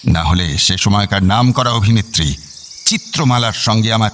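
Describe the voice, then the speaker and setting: A man's voice: fairly low pitch, moderate pace, a bit bright, slight background noise, lightly acted. A man playing a boastful, playful elderly husband and father-in-law in an audio drama, theatrical and teasing. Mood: teasing regret.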